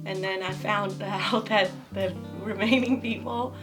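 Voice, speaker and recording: Young japanese-accented woman, medium pitch, chatty and laughing, music under her. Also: fairly even intonation, a little slow, fairly bright tone. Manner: chatty, laughs often, agreeable. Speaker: recording engineer, guest. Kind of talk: casual conversation. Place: recording studio control room.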